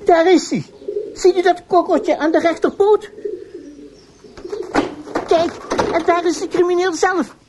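A woman playing an indignant, accusing busybody neighbour with a shrill manner in a radio play. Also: fairly even intonation, measured pace, long pauses, a little mellow, a little hiss or hum. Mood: indignant triumph. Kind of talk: radio drama acting.

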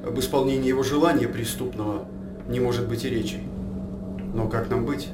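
Male actor as resolute scheming dignitary, reverberant, unhurried, grave, theatrical verse, music behind. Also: medium pitch, fairly even intonation, lightly acted. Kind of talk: theatrical verse radio drama acting. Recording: echoey, distant microphone, music behind.

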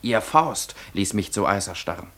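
An actor playing a fervent, proud young romantic dreamer in a German radio play, declamatory and lyrical. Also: male voice, lowish voice, lively intonation, moderate pace, creaky, bright, forceful tone, exaggerated voice. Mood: pleading, ardent.

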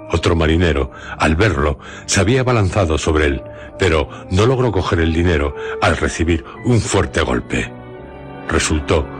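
A man with a Castilian Spanish accent narrating a radio drama in measured, deliberate phrases. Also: extremely low pitch. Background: music.

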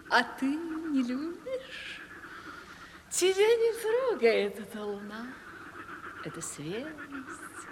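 An actress playing a coquettish, imperious aging lady landowner in a theatrical Russian radio comedy, languid and cooing. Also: a little high. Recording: 1970s radio play, reverberant with background noise.

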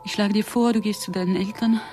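Actress as distraught, anxious wife, music behind. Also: female voice, mid-range voice, steady tone, fast talker, close to an everyday voice. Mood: tense, restrained. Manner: emotional, urgent, drifting into hushed whispers. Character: anxious, distraught wife hiding from her husband. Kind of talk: German radio play acting.

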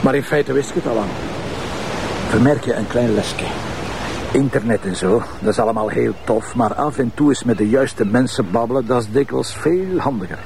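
A man's voice: mid-range voice, normal speed, creaky, noisy background, natural voice. A Flemish man playing a bossy, sardonic police commissioner who lectures and teases his team in a TV crime drama. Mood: wry, lecturing. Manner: commanding, teasing, lecturing. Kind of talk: naturalistic TV crime drama acting.

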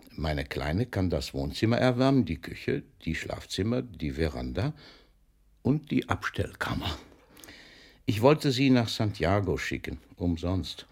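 An actor playing an older, famous poet in a German radio drama, declaiming with mockery and fatherly authority, swinging between booming and hushed delivery. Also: exaggerated voice. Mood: theatrical, mock-indignant. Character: older famous poet, theatrical, mocking, fatherly toward a young postman.

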